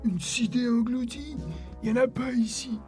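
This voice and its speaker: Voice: medium pitch, fairly even intonation, normal speed, a little mellow, lightly acted. A performer voicing a friendly, naive, anxious talking fish in a cartoon, simple and earnest. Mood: puzzled. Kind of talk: cartoon dub in French. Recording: music behind the voice.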